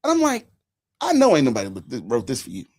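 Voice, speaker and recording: A man of forty hosting a casual political chat, telling stories animatedly, swinging between loud and hushed. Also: medium pitch, wildly swooping pitch, quick pace, breathy edge. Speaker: podcast host.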